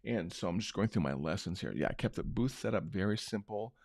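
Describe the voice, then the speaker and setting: A middle-aged man hosting a marketing show, talking unscripted in a loose, conversational way with a big booming voice. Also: animated, brisk, darkish tone, dry recording, clean studio sound. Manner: unscripted, conversational, many restarts. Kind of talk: casual talk-show recap.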